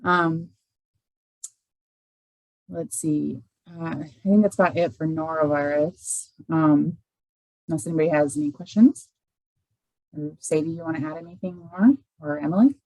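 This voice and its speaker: A woman with a very deep voice and pronounced creak talks through an informal update. She is casual and tentative, with a close, dry sound. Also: a little slow, long pauses, darkish tone, no room sound, rough recording. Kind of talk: informal conference call update.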